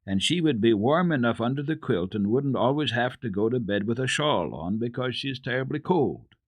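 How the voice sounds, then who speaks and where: An eager, kindhearted little girl in a storybook, as a man reads her lines. The delivery is earnest and expressive, with varied pitch, on a dry recording. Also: a little fast, no echo, close to an everyday voice. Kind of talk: dramatic reading of children's classic.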